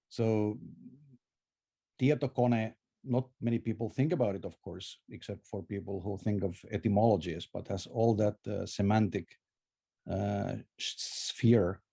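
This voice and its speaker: He is a man, his voice low and warm. He talks in a thoughtful, scholarly way over a video call, circling back to restart words as he explains their roots. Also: gravelly, husky. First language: Finnish. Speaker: presenter answering questions. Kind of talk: academic discussion.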